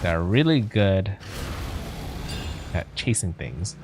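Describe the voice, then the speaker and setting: A man's voice: low voice, big pitch swings, slow pace, heavy background noise. A man streaming a video game, chatting casually and thinking aloud, with game music in the background. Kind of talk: casual let's play commentary.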